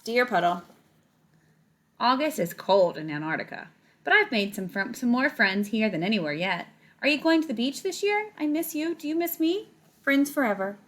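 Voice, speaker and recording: A woman's voice: fairly high pitch, big pitch swings, normal speed, a bit bright. Woman reading as affectionate, adventurous storybook pig. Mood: wistful, affectionate.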